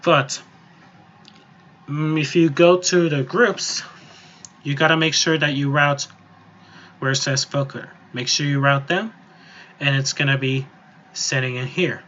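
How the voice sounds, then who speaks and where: A man giving a casual, improvised software tutorial, explaining step by step in an informal, rambling way. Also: on the high side, steady tone, a little slow, pauses often, dull audio, a little hiss or hum. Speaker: music software tutorial presenter. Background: voice-over on a screen recording.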